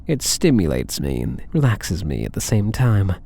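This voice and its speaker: A man's voice: low-pitched, big pitch swings, moderate pace, vocal fry, mellow, exaggerated voice. A British man voicing a possessive, smug, doting catboy cat burglar in an intimate ASMR roleplay, coaxing and teasing with theatrical endearments. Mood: smug, confiding.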